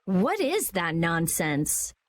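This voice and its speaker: A woman voicing an irritable, sharp-tongued teenage girl scholar in a video game. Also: lowish voice, huge pitch swings, takes it slowly, exaggerated voice. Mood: annoyed, grudging. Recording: music under the voice.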